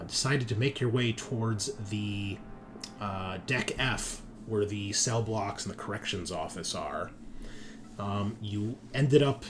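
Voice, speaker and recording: A man working as game master, giving a casual, halting recap of a tabletop game as he thinks aloud. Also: fairly low pitch. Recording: clear background noise.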